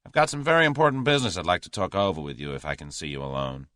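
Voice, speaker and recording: A man's voice: sits low, big pitch swings, very fast, a bit bright, dry recording. A man voicing a brash, confident American millionaire in an audiobook story, with an American accent and a dry, abrupt drawl.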